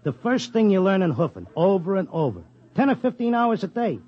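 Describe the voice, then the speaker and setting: An actor playing a streetwise Broadway race tipster, talking blunt and slangy in a 1950s radio drama. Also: male voice, sits high, sing-song, brisk, husky, highs cut off, full character voice. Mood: determined. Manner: slangy, blunt, tough-guy talk. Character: streetwise Broadway racetrack tipster, devoted husband. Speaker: narrator and lead.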